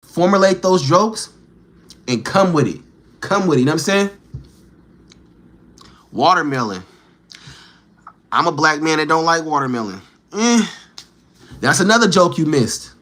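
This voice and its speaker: Dude ribbing trolls in his live chat, slangy, hits em with quick questions, bright punchy voice. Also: on the high side, sing-song. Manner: teasing, challenging, rapid-fire questions; slangy street talk. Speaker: livestreamer. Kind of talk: casual livestream banter with chat.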